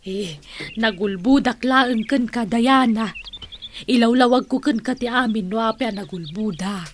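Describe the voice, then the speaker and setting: A woman's voice: on the high side, unhurried, a little hiss or hum, lightly acted. A woman in an Ilocano radio drama playing a wealthy, plain-living older aunt, playful and good-natured, drawing out her words with emphasis. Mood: explaining, candid.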